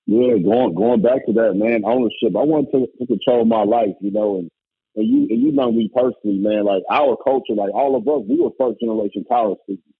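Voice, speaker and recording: A man from Indiana with a loud voice, a former basketball coach, telling his story in an emphatic, animated way. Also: mid-range voice, a little fast, gravelly, husky. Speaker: guest, former college basketball coach turned musician. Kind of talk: casual conversation. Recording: tinny phone-like line, dry.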